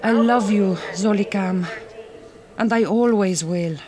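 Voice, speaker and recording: A woman's voice: mid-range voice, slow, crowd noise, natural voice. A woman playing a resolute, tender Hungarian migrant worker in a radio drama, with a Hungarian accent and careful, formal phrasing. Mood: tender, bittersweet. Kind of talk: naturalistic radio drama acting.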